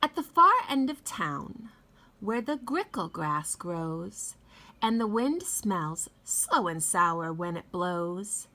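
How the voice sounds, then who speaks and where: A middle-aged woman talking and reading aloud to young children in an expressive teacher's manner. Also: on the low side. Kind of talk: children's read-aloud with chatty introduction. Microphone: phone at arm's length.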